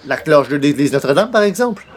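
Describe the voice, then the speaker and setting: A man's voice: fairly high pitch, fast, a little hiss or hum. Dry, skeptical Quebec man acting in radio comedy. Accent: Quebec French. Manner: dry, deadpan. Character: wry, skeptical male newspaper columnist and cat owner.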